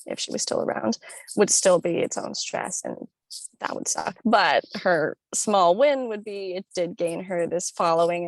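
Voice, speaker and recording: A young woman talks casually as a podcast guest, upbeat and chatty. Her voice carries a gravelly fry at the ends of phrases. The sound is dry and close, with no room echo. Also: on the low side. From born in Italy, raised in northern Florida. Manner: chatty, upbeat, frequent "like" and laughs. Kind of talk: casual chat.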